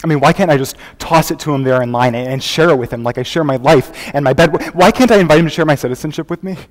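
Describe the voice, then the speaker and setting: A man's voice: fairly high pitch, close to an everyday voice. Young man acting earnest performance artist, echoey hall. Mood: frustrated, pleading. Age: young adult. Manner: theatrical, conversational storytelling with dramatic pauses. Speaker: high school competitive speech performer. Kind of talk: dramatic interpretation monologue on stage. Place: large hall before an audience. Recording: echoey hall, live contest recording.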